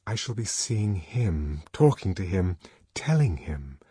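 A man's voice: low-pitched, very animated, slow, mellow, husky, dry recording, studio quality, exaggerated voice. A man voicing a melancholy, lovestruck young woman thinking to herself in an audiobook story. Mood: anxious hope.

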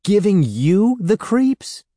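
Man voicing a whiny, high-strung preteen boy. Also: moderate pace, mellow, dry recording, clean studio sound, natural voice. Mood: panicked. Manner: whiny, exasperated, emphatic. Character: anxious, sarcastic preteen boy in a children's horror story.

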